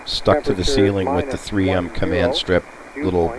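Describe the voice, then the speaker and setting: A man's voice: deep voice, expressive, normal speed, a loud crowd. A man chatting casually and muttering playful asides to himself as he tunes around as a radio hobbyist. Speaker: radio hobbyist showing shortwave listening. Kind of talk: casual rambling chat while tuning. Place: apartment room. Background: shortwave radio playing.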